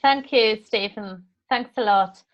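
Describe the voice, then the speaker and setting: A woman's voice: mid-range voice, slow. A woman with a Welsh accent giving an encouraging, informal online lesson as course tutor, often restarting her phrases. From Wales. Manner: warm, encouraging, with frequent restarts. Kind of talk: live online teaching session. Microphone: video call. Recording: dull lo-fi call audio.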